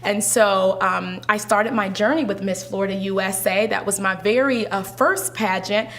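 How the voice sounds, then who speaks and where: A woman's voice: mid-range voice, steady tone, normal speed, fairly bright tone. A woman who is a pageant titleholder, chatting in a casual interview with lots of fillers and restarts. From Haitian descent.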